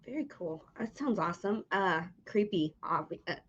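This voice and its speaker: A woman with a slightly low voice chats casually as an interviewer, informal and encouraging. The recording is a little dull and lo-fi. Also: animated, moderate pace, a bit bright, husky. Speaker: interviewer, host.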